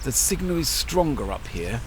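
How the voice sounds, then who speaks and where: A man playing a scholarly, unnerved sound researcher dictating a field log in clipped, halting technical phrases for an audio drama. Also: mid-range voice, big pitch swings, background noise, natural voice. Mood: alarmed.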